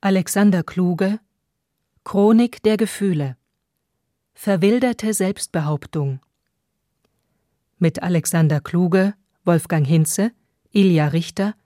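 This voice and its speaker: A woman radio announcer reading a script in a measured, matter-of-fact way. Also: fairly low pitch, warm tone, no echo, studio quality.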